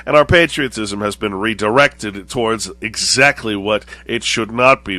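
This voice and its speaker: A man speaks as a show host in a low, bright voice thickened by a chest cold. He lectures deliberately, restating his phrases, and is recorded close and dry. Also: dry recording. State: voice lowered and congested, recovering from chest cold. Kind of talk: monologue to viewers, political and religious commentary.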